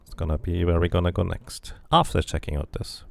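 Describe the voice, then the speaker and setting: Man, game streamer, low gravelly voice, close dry sound, musing casual commentary. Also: fairly even intonation, brisk, a little mellow, dry recording. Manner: musing to himself. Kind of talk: casual playthrough commentary, reading game text aloud.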